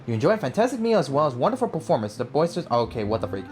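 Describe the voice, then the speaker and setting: A young man with a widely swinging, expressive pitch gives casual gaming commentary. He talks in loose slang, often tossing in bro, with faint game music behind him. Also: very fast. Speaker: gaming video host. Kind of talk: casual let's play commentary.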